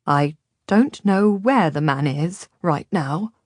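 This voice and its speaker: A woman's voice: deep voice, expressive, takes it slowly, a little mellow, no echo, clean studio sound, full character voice. A woman voicing a guilt-ridden young man. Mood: shaky. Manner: self-reproaching, shaky.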